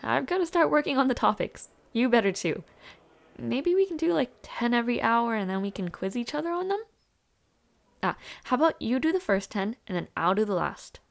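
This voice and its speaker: A young woman acting in an intimate audio roleplay as a warm, shy, playful university student, with a hesitant, giggly, softly teasing way of talking. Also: fairly high pitch, very animated, fairly quick, gravelly. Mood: brisk, friendly.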